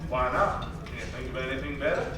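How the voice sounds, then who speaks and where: A man's voice: mid-range voice, steady tone, quick pace, natural voice. A young student actor playing a brash, jovial businessman in an old-fashioned radio play, with a hearty, teasing manner. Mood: confident pitching. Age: teenager or young adult. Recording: echoey hall from far off, noisy.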